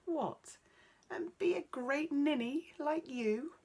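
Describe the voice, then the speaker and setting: A woman's voice: sits high, slow, darkish tone, voice pushed up. A woman voicing a cheerful young shepherdess in a bedtime story, plucky, teasing and unimpressed. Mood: scornful. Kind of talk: bedtime storybook character reading.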